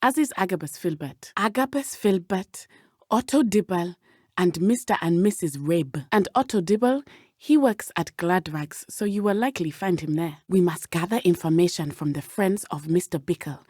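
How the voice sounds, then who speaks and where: A woman voicing a brave, earnest teenage witch schoolgirl in a video game, speaking in a formal, measured way. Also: on the low side, expressive, darkish tone, husky, no room sound, clean studio sound. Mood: earnest, calm. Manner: formal, measured, avoids contractions. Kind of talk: scripted game companion dialogue.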